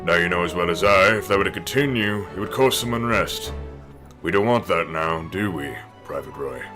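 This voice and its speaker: A man playing a deep-voiced knight commander. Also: lively intonation, moderate pace, over music. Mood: condescending. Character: gruff middle-aged male knight commander. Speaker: commanding officer.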